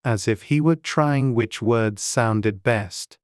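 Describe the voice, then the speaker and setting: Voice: mid-range voice, slow pace, a little hiss or hum. An audiobook narrator reading a classic tale in a dramatized storybook style.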